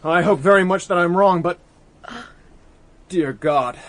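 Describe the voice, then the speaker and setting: An actor playing an earnest, anxious young man in a radio mystery drama, with a breathy, husky voice and an urgent manner. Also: high-pitched, a little slow, no echo. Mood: urgent dread. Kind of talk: BBC radio drama acting.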